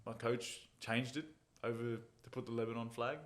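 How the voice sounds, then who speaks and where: Laid-back fighter telling stories. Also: male voice, mid-range voice, level tone, moderate pace, a little hiss or hum. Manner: laid-back storytelling, chuckles at his own jokes. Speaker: MMA fighter, interview guest. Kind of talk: casual interview chat.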